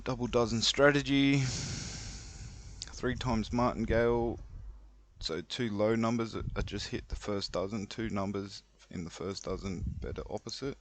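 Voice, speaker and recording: A man with a low voice talks through his play in a casual, unhurried way. He thinks aloud as he explains, leaving pauses between short phrases. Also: fairly even intonation. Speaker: online roulette player explaining a betting strategy. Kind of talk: casual screen-recorded commentary.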